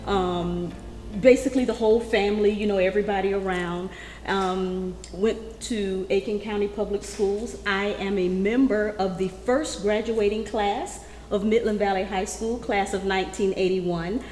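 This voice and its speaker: A woman, telling her life story in a warm, chatty way. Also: mid-range voice, steady tone, moderate pace, slight background noise. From South Carolina, US. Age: 50s. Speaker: interviewee. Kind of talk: casual conversation. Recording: echoey room with long reverb.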